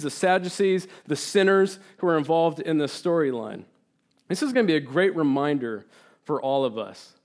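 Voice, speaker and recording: A man who grew up in Turkey and spoke Turkish first, preaching an earnest, animated sermon as a guest preacher and reading scripture aloud. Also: a little high. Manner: earnest and animated, repeats words when emphasising, reads scripture aloud.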